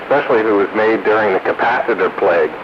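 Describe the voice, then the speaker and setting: A man's voice: fairly low pitch, very fast. A man chatting casually as an operator over CB radio. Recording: dull, noisy CB radio link.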